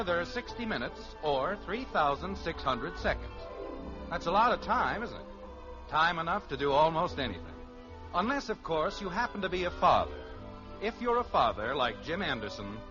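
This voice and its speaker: Music behind, dull old radio recording, American male announcer, highish voice, lively swoops, polished scripted narration.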